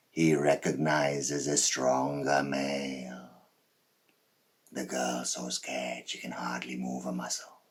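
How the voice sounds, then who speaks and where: Man acting a very deep, cold killer. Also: fairly bright tone, slightly rough, heavily acted. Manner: menacing, deliberate, with dramatic pauses and swells. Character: cold, boastful male psychopath serial killer telling his story. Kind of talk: dramatic stage monologue.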